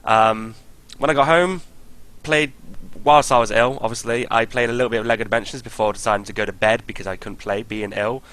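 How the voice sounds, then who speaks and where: A man from the UK, a podcast guest, chatting casually and rambling with enthusiasm in a voice slightly croaky from illness. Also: medium pitch, steady tone, a little fast, fairly bright tone, low-quality recording. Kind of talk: casual chat.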